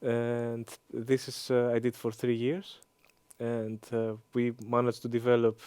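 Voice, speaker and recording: A man in his thirties with a Greek accent, answering interview questions with many hesitant pauses and fillers. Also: medium pitch, monotone, darkish tone, clear, resonant tone, dry recording. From Greece. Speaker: interview guest, engineering professor.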